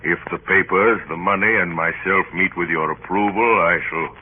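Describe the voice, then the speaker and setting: A man's voice: low voice, fairly even intonation, moderate pace, husky, phone-quality sound. An actor playing an imposing, enigmatic clergyman in an old-time radio drama, with a pompous, oratorical and insistent manner. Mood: smooth, solemn. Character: imposing, grandiloquent clergyman passenger, commanding and enigmatic. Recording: band-limited, noisy old radio recording.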